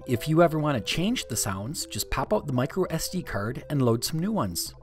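A man reading a scripted DIY tutorial narration in a clear, step-by-step instructional way. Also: a little high, very animated, normal speed, over music.

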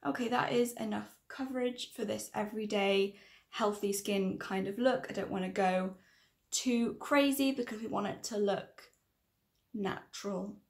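A woman in her thirties with a croaky, tiring voice, chatting and correcting herself as she presents a casual tutorial to camera. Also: medium pitch, a little slow, reverberant. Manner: chatty, rambling, self-correcting. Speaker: makeup tutorial vlogger. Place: small room indoors.

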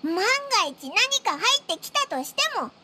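Squeaky childlike fairy companion. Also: female voice, very animated, normal speed, heavy background noise. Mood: protesting. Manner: childish, brash, boyish speech. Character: tiny floating fairy companion, childlike, boastful, excitable. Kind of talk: anime game voice acting.

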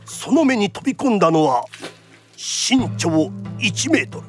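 An actor playing a lively storyteller, narrating a radio drama in theatrical, punchy traditional phrasing. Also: male voice, high voice, unhurried, soft background music. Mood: vivid narration.

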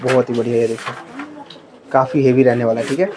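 A man's voice: medium pitch, level tone, fast, background noise. A man filming a shop-tour vlog in a card shop, talking to viewers with eager praise and repeating prices. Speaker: market vlogger.

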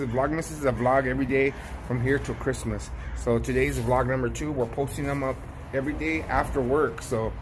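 A middle-aged man chatting casually to his vlog viewers as a barber and shop owner, often repeating phrases for emphasis. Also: mid-range voice. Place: outside a storefront, later inside a barbershop. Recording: noisy ambient background.

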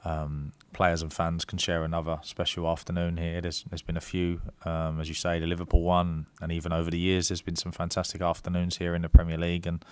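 Man in his 30s, football manager at presser, low smooth voice, dry room, halting ums. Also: completely flat delivery, no echo. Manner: measured, many ums and restarts. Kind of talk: press conference answers. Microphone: desk mic.

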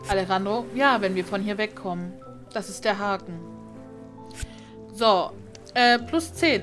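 A woman doing casual let's play commentary, reading game text aloud and muttering to herself. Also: medium pitch. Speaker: gaming let's player. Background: video game music.

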